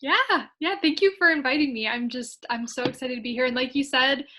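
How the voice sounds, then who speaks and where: A woman's voice: high voice, fairly quick, bright, forceful tone, a little hiss or hum. A woman from upstate New York, a digital marketer and coach, chatting eagerly as an interview guest and laughing often. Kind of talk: casual interview.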